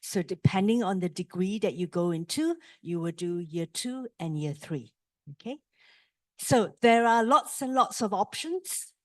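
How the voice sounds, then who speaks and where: A woman's voice: medium pitch, expressive, no room sound. Malaysian-accented middle-aged woman presenting. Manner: measured, with frequent ums and uhs. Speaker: university presenter. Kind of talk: orientation presentation to students.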